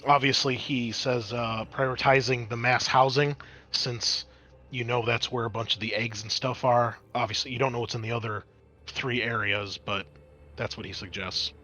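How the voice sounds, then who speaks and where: Bright-voiced man running a tabletop game. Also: mid-range voice, steady tone, normal speed. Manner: explanatory, conversational. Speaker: game master. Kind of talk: narrating a tabletop roleplaying game, improvised.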